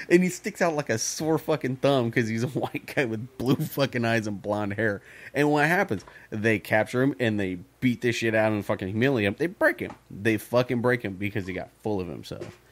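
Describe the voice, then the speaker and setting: A man co-hosting a casual podcast, blunt and profane as he tells stories. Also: medium pitch. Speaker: podcast co-host.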